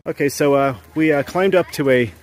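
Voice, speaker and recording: A man's voice: fairly high pitch, brisk, soft background music, low-quality recording. Chatty man giving a fort walking tour. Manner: chatty, explains as he walks, laughs now and then. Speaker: travel vlogger. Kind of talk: travel narration and walking tour commentary. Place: outdoors at a ruined hilltop fort.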